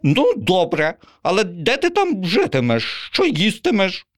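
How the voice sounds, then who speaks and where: Storybook pigeon: nervous, mocking male bird, raised pitch, voiced by a man. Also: wildly swooping pitch, measured pace, full character voice. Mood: doubtful. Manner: snorting, twitchy, mocking.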